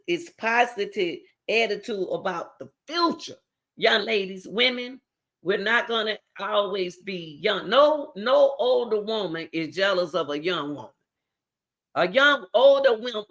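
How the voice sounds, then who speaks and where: A woman's voice: mid-range voice, a bit bright. Preachy middle-aged woman vlogger. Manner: preachy, repetitive, direct address to viewers. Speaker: channel host. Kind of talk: motivational talk to camera.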